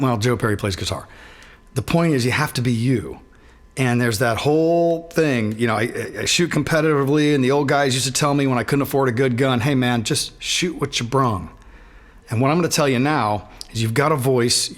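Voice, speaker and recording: A middle-aged man with a raspy voice and sinus trouble, teaching a singing warm-up in a relaxed, self-deprecating way. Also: medium pitch, a little fast. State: sinus trouble, throat not feeling great. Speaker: guitarist giving a singing lesson. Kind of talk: casual instructional talk.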